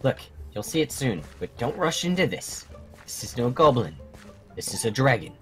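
A man's voice: mid-range voice, very animated, normal speed, faint music behind, close to an everyday voice. A man playing a stern, dismissive mentor of young dragon fighters in an improvised game roleplay, instructing in clipped commands. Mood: stern, instructive. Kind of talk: improvised Minecraft roleplay acting.

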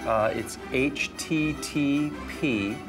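A man's voice: medium pitch, very slow, music in the background. An American man in his thirties playing an exasperated, literal-minded office worker in a comedy sketch. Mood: earnest. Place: conference room.

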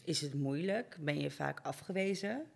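A woman's voice: sits low, lively intonation, moderate pace, gravelly, slightly rough. A young woman asking questions in a casual, conversational interview style. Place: hair salon, indoors. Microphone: podcast mic on stand.

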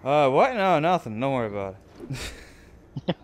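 A man's voice: mid-range voice, sing-song, normal speed. A man giving casual gameplay commentary with muttered, irreverent asides. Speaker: let's player. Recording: clear background game noise. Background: video game sounds.

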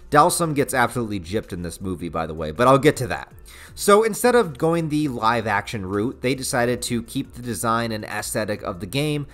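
A man's voice: medium pitch, a bit bright. A man in his thirties with a North American accent, narrating a scripted but conversational review with casual, sarcastic asides. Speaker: video essayist, channel host.

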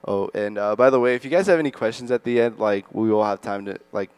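A man's voice: lowish voice, fast talker, darkish tone, smooth, no room sound. A young man casually moderating a student panel on an auditorium stage, upbeat and full of fillers. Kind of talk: moderating a school chapel panel.

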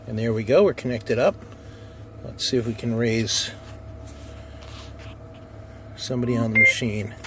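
A man's voice: mid-range voice, fairly even intonation, measured pace, pauses often. A man giving a casual demonstration as an amateur radio operator, recorded inside a car. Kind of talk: casual demo narration and radio call-outs. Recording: noisy car interior, handheld camera. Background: vehicle noise.

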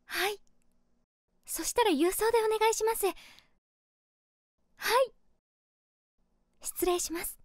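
Casting a secretly smitten young female streamer, voice drama monologue, gentle ladylike musing, high pitch, dry close recording. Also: moderate pace, no room sound. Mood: polite, pleading. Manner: feminine, gentle, musing to herself. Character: sociable, caring 27-year-old female streamer, secretly smitten.

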